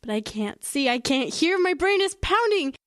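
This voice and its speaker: An actress playing a frightened, doubting, defiant fiancée in an audio drama, with emphatic phrasing. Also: female voice, high voice, sing-song, normal speed, a bit bright, dry recording, studio quality. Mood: anguished monologue. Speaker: actor. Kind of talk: audio drama acting with inner-monologue narration.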